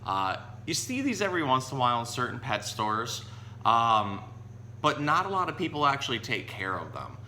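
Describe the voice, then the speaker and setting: A man's voice: medium pitch, big pitch swings, moderate pace, sharp tone. A man hosting as a zookeeper, explaining animals in casual, educational talk. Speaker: zookeeper host. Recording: echoey room with faint hum.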